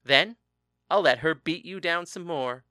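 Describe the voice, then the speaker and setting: A man's voice: fairly high pitch, unhurried, pushed and bright, no room sound, studio quality. Man reading a protective big brother, menacing and firm. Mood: threatening. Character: tough older brother, protective and threatening.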